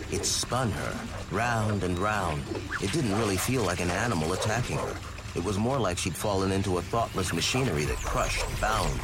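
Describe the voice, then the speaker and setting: A deep-voiced suspenseful narrator of a horror adventure. He tells the tale in dramatic scripted audio drama style, with loud sound effects and ambience surging behind him. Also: very noisy.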